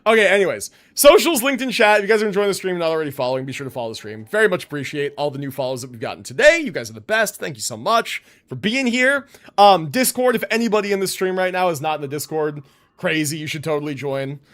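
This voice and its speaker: A man's voice: on the high side, very animated, fast, fairly bright tone. A young man chatting casually to his livestream viewers as a game streamer, informal and rambling, with free swearing.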